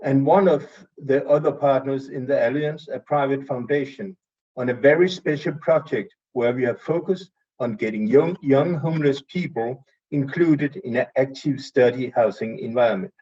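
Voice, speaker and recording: A man from Denmark with a Danish accent, giving a measured, somewhat halting introduction at an online event. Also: medium pitch, mellow, husky. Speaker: city official introducing a speaker. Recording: distant muffled mic.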